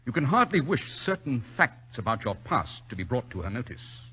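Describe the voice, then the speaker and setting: A dull, old radio recording of a British gentleman detective. His voice is husky and swings freely in pitch, with a dry, urbane confidence and crisp, theatrical phrasing. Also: very animated, moderate pace, exaggerated voice. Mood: cool challenge. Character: shrewd, composed gentleman detective, drily polite and confident. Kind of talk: 1940s radio drama acting.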